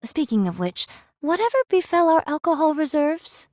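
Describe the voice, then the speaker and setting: Theatrical, old-fashioned swordswoman, fairly high. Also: sing-song, moderate pace, a little mellow, no room sound, studio quality. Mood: dismayed. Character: archaic-speaking android swordswoman, wry, fond of food and drink. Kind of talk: anime-style game voice acting.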